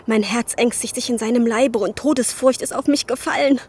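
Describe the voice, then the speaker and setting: An actress playing a desperate, devout young medieval woman in a German radio drama, halting and anguished in her delivery. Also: a little high, a little mellow, husky. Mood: fearful, anguished. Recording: music behind the voice.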